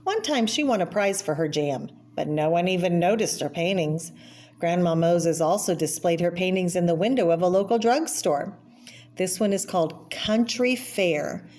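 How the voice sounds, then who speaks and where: A woman's voice: lowish voice, moderate pace, a little mellow. A woman reading a children's picture book aloud, explaining the pictures and addressing the listener with small asides. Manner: explanatory, pointing out pictures and asking the listener questions. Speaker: read-aloud storyteller. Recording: clear background noise.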